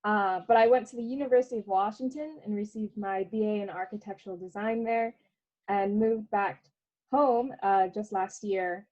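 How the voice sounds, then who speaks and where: A woman's voice: mid-range voice, moderate pace, a little mellow. A young woman from Hawaii presenting as a graduate student in an informal style over an online call. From Hawaii, United States. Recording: dull, lo-fi online call audio.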